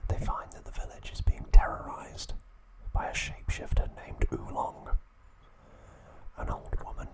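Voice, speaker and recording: A man whispering slowly and deliberately in short, hushed phrases, narrating as an ASMR host close to the microphone. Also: poor audio. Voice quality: breathy, unvoiced whisper.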